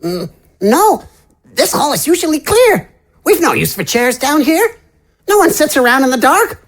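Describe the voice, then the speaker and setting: A frail, nervous old male librarian for a fantasy audio drama. The voice is very high, shaky and squeaky. Its intonation moves up and down a lot. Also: very animated, moderate pace, a bit bright, full character voice. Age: older.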